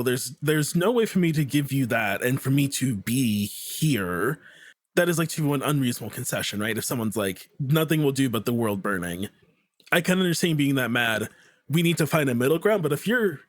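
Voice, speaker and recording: Man, podcast guest, casual chat, rambling filler-heavy delivery, mid pitch, dry sound. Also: no echo.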